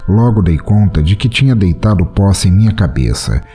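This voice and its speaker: Deep theatrical Brazilian storyteller, low voice. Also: male voice, lively intonation, warm tone, soft background music. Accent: Brazilian Portuguese. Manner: theatrical, playful, with lingering pauses. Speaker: podcast host and story reader. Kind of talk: dramatic reading of a short story.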